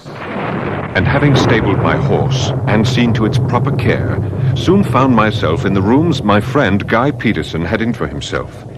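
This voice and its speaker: An actor playing a calm, commanding young doctor and mesmerist in old-time radio drama, with a measured, theatrical delivery. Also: male voice, fairly low pitch. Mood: narrating. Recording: noisy old radio recording.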